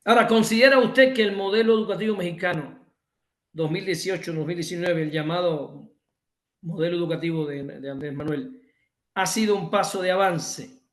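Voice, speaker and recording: A man's voice: sits high, normal speed. Cuban man lecturing emphatically, echoey room. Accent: Cuban Spanish. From Cuba. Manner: emphatic, repeats and restates points, many self-corrections. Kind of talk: online university lecture.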